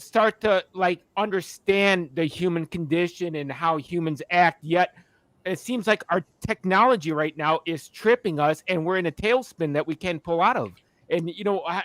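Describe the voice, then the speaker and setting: A man's voice: high voice, a bit bright, dry recording. A man in casual podcast chat, rambling as he searches for his question, with casual swearing.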